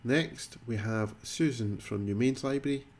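A man's voice: mid-range voice, measured pace, over loud music. A man hosting a library podcast, introducing readings in a friendly, slightly rambling way.

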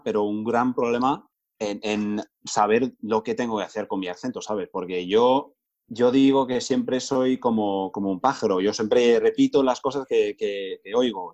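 A man's voice: on the low side, darkish tone, highs cut off. A young Englishman with a Spain-Spanish accent, a native English speaker, chatting as a guest in an easygoing, rambling way with many restarts. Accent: Spain Spanish, learned as English speaker. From England. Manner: rambling, self-correcting, easygoing. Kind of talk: casual chat.

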